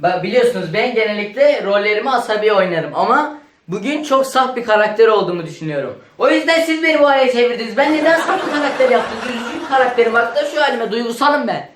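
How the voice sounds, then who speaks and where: A man's voice: very high voice, expressive, fast talker, a bit bright. A man improvising comic banter in a sketch, clowning and wisecracking. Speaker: comedy sketch performer. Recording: echoey room with other voices behind.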